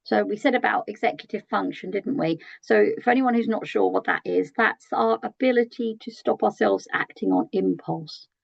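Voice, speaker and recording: A British woman with a croaky, gravelly voice leads an early years training session. Her delivery is chatty, and she often checks in with tag questions and pauses on "um". The sound is dry and a little dull. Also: medium pitch, dry recording. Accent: British English. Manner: conversational, asks tag questions, frequent ums. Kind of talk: training webinar talk.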